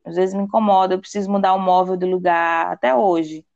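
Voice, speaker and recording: A woman's voice: fairly low pitch, steady tone, brisk, vocal fry, darkish tone, no room sound, muffled recording. A woman co-hosting a podcast, speaking thoughtfully in casual conversation, with hesitant restarts. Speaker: podcast co-host.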